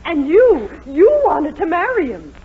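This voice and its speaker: A woman's voice: very high voice, wildly swooping pitch, unhurried, heavily acted. An actress playing a hot-tempered, domineering middle-aged mother in a 1940s radio sitcom, indignant in manner. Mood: indignant, bossy. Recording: scratchy phone-like 1940s radio, loud audience.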